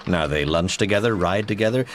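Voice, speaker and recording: A man's voice: sits low, brisk, natural voice. An actor playing a proud, wry society father, recounting events in a formal, emphatic way in a period radio drama.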